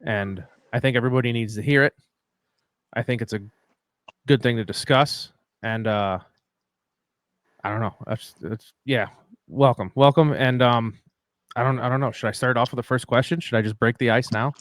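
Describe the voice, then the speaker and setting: A man's voice: medium pitch, normal speed. A male podcast host rambles and cracks jokes at his own expense in a loose, casual way. His voice sits mid-range and sounds dry, with little room echo. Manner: rambling, joking, self-deprecating.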